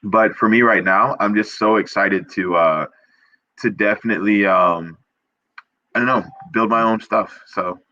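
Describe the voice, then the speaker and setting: A man's voice: fairly low pitch, measured pace, sharp tone, no echo, lo-fi sound. A young man, a perfumer guest on a video call, talking earnestly and eagerly, full of restarts and fillers. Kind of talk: casual interview chat.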